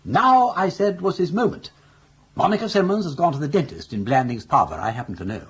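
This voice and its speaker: An actor playing a suave older English gentleman schemer with an upper-class accent and jaunty, ornate phrasing in a radio comedy. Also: a little high, expressive, fairly quick, husky, exaggerated voice. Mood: scheming, jaunty. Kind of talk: radio comedy drama acting.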